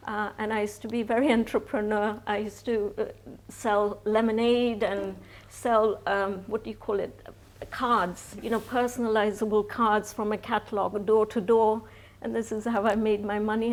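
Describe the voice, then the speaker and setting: A woman in her sixties, a guest in a live stage interview, telling her life story in a chatty way with frequent restarts and laughter. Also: medium pitch. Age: 60s.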